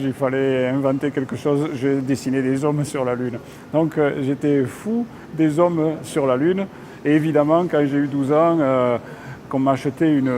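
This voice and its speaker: A man's voice: medium pitch, a little mellow, slight background noise. A man in his fifties, a magazine editor, earnestly recalling an early childhood memory. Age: 50s. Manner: nostalgic, earnest storytelling.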